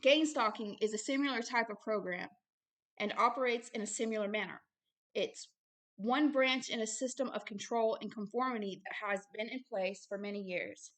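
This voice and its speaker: A woman's voice: fairly high pitch, normal speed, a bit bright. A woman hosting religious commentary as she reads aloud and explains, speaking emphatically and repeating phrases for stress. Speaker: host, self-described prophet reading a book aloud with commentary.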